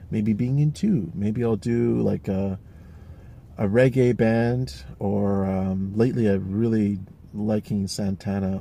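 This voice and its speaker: A man's voice: fairly low pitch, creaky, warm tone, a little hiss or hum. A man in his forties talking casually and reflectively to camera, rambling with many fillers and restarts. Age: 40s. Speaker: vlogger.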